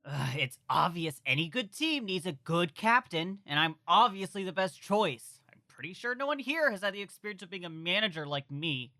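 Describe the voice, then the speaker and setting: A woman's voice: fairly low pitch, animated, moderate pace, few pauses, sharp tone, dry recording. A woman voicing a bossy, smug, self-appointed team captain in a cartoon. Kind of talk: cartoon character dialogue.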